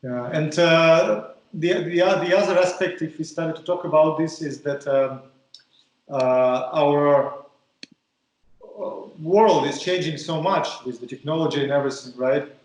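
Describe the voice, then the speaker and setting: Host, male, Russian accent, fairly high voice, stop-start phrasing, very echoey room. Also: unhurried. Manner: hesitant, repeats words while searching for phrasing. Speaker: podcast host. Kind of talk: interviewing a guest over a call.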